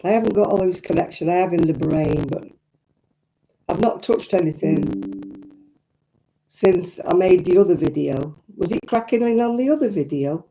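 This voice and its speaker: An older woman with a croaky voice, chatting and rambling to viewers while she knits on a live stream. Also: on the low side, expressive, moderate pace, pauses often, warm tone. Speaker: crafting livestream host. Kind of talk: casual chat to live viewers. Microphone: own simple mic, live stream. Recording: crackly audio.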